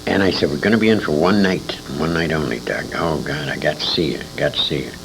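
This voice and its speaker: Very deep, gravelly older American man. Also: fast talker. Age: 60s. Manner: animated anecdotal storytelling with emphatic repetition. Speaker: interview guest, old-time radio veteran. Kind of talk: casual reminiscing interview. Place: radio convention, live interview. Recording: noisy amateur convention interview tape.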